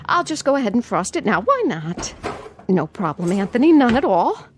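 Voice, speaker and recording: Fretful doting auntie, radio drama, lively higher-pitched delivery, sugary coaxing, jittery repetition. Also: female voice, normal speed, slight background noise. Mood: coaxing, placating. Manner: sweetly coaxing, nervously repeating herself. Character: anxious, doting aunt placating a feared nephew.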